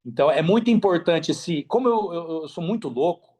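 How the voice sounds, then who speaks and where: A man's voice: on the high side, brisk. A Brazilian man chatting casually as a podcast guest, speaking emphatically, repeating phrases and using plenty of slang. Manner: emphatic, repeats phrases, slangy, animated storytelling with acted-out quotes. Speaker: podcast guest, affiliate marketer.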